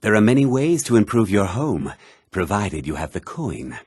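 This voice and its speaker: An actor voicing a haughty, formal middle-aged mage and official in a fantasy video game, speaking precisely and with condescending politeness. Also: male voice, fairly low pitch, sing-song, moderate pace, darkish tone, slightly rough, no echo. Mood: courteous, businesslike.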